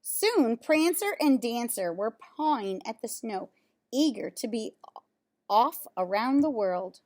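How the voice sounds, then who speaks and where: A woman with a fairly high voice reads a children's story aloud at a very slow pace. Her pitch moves up and down a great deal. She breaks from the text for casual comments and questions to her young listeners. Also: animated. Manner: pauses to comment on pictures and ask listeners questions. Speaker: storyteller reading a children's picture book aloud.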